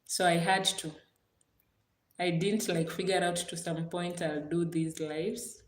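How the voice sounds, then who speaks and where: A woman from Kenya with a Kenyan accent, chatting casually on a livestream, warm and gracious toward her viewers and repeating her thanks again and again. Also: fairly low pitch, little pitch movement, a little slow, echoey room. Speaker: livestream host.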